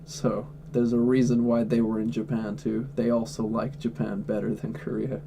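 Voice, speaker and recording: A man's voice: on the low side, flat delivery, normal speed, gravelly, background noise. A man livestreaming from home, answering chat questions in a blunt, offhand way and swearing freely. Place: at home indoors.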